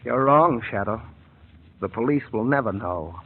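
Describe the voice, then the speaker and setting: A man's voice: medium pitch, lively intonation, moderate pace, husky. An actor playing a cold, mocking male mad scientist who chuckles as he threatens, in 1940s radio drama style, on a tinny old broadcast. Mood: gloating. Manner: suave, chuckling menace.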